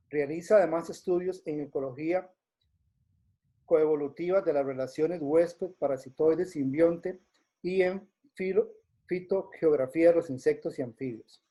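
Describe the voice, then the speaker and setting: Man with a mellow voice, formally introducing. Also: fairly high pitch. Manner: formal, measured, with occasional hesitations. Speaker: event host.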